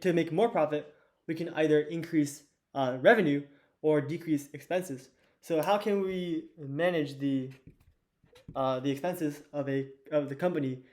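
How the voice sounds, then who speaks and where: A teenage boy with a hoarse, fading voice, teaching an investing lesson at a whiteboard in a loose, chatty way with lots of fillers and restarts. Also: fairly high pitch, darkish tone. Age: teens. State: hoarse, losing his voice. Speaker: student teacher of an investing course.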